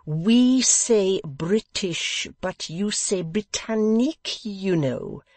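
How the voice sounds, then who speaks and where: A reader doing a pompous English gentleman host. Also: sits high, very animated, no room sound, pitched above the performer's own voice, heavily acted. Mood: condescending. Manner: loud, slow, overenunciated as if to a deaf child.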